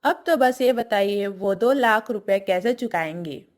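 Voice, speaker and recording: A woman's voice: mid-range voice, unhurried, natural voice. A woman playing a simple, honest village wife in a cartoon story, earnest and respectful.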